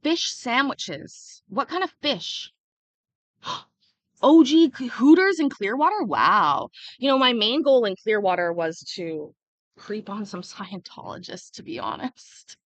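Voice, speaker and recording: A woman's voice: fairly high pitch, very animated, slow, dry recording, lo-fi sound. Woman, young adult, livestream host, chatty, casual, dry sound. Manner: chatty, reads and answers chat comments.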